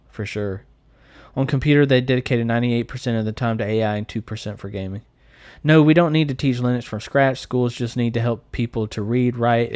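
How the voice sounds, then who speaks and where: A man's voice: mid-range voice, a little mellow, dry recording. A man with a Southern American accent chatting informally and jokingly on a live stream as he answers comments. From United States.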